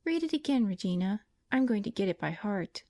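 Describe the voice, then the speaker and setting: A woman's voice: mid-range voice, very animated, fairly quick, darkish tone, no room sound. A woman voicing a frail, pious young invalid woman who pleads tenderly and tearfully. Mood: eager.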